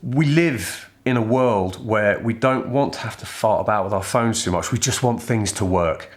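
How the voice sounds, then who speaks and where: A man's voice: lowish voice, normal speed. A middle-aged British man giving an informal product review to camera in a chatty, irreverent way with casual slang. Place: indoor studio set styled as a workshop.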